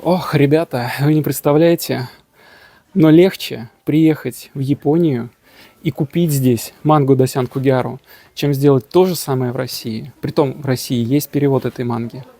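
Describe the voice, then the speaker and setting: A man's voice: medium pitch, steady tone, normal speed, dark tone. A man casually narrating his travel vlog outdoors, joking, boasting and using slang. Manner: jokey, boastful, slangy storytelling. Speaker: travel vlogger.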